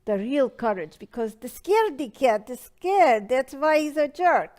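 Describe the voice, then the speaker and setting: A woman's voice: sits high, big pitch swings, measured pace, a little mellow. A woman voicing a flamboyant, dramatic dead old madame who calls people darling and gives advice. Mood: theatrical. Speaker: advice show persona.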